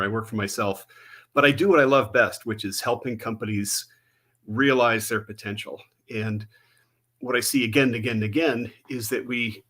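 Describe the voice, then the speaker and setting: A man guesting on a podcast as a sales consultant, talking in a relaxed, measured way with a few fillers. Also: medium pitch. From United States. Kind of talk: casual interview talk.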